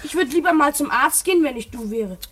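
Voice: high-pitched, animated, a little fast, a bit bright, a little hiss or hum. An actor playing a practical, doubting child friend in a German children's radio play, questioning and plain-spoken. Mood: disapproving.

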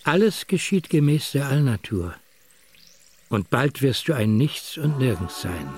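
An actor playing a solemn ancient philosopher, declaiming stoic maxims in a radio play. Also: male voice, medium pitch, sing-song. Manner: declamatory, slow.

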